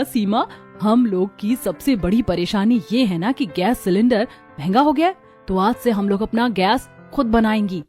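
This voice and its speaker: A woman's voice: on the high side, darkish tone, close to an everyday voice. A woman voicing village women of a poor household in a cartoon story. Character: village women of a poor family, daughters-in-law and mother-in-law.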